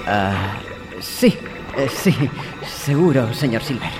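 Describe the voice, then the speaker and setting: A man's voice: mid-range voice, very animated, slow pace, music in the background. An actor playing an earnest, anxious young cabin boy in a Spanish radio drama, respectful and urgent. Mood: nervous.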